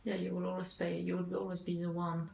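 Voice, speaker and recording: A woman's voice: on the low side, completely flat delivery, brisk, creaky, a little mellow. A woman co-host chiming in with brief remarks of agreement. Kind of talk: chiming in on an interview. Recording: echoey room, faint noise.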